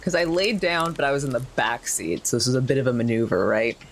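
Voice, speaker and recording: A man's voice: mid-range voice, animated, fast, slight background noise. A man chatting casually as a tabletop game player, hesitant and thinking aloud. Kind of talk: casual chat.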